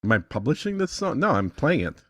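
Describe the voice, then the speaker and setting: A man's voice: medium pitch, big pitch swings, fairly quick, breathy edge, no room sound, studio quality. A man giving a casual, conversational tutorial as a guest, thinking aloud in an easygoing way. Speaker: guest demonstrating an AI music tool.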